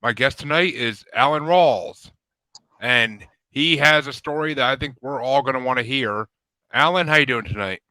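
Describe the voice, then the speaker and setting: A man's voice: medium pitch, moderate pace, pushed and bright, no room sound, rough recording. A man hosting a live paranormal podcast, easygoing and chatty as he welcomes his guest. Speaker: podcast host.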